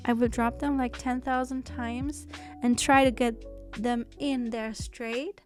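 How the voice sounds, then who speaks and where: Chatty German woman with a higher voice. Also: steady tone, takes it slowly. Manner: chatty, self-deprecating, with small restarts. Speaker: sewing pattern designer. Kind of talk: voice-over sewing tutorial. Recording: soft background music.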